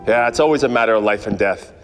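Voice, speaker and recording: A man's voice: lowish voice, fast talker, soft background music, close to an everyday voice. Man playing world-weary private eye, echoey hall. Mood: resigned. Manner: wry, world-weary, deadpan. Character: weary, cynical male hard-boiled 1940s private eye. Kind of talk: noir radio play narration. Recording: echoey hall, background noise.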